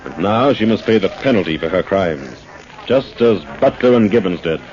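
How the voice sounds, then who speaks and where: A man's voice: low-pitched, lively intonation, normal speed, soft background music. A man acting a composed, suave man-about-town sleuth in a 1940s radio drama, with an Australian accent. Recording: dull, faintly noisy old radio recording.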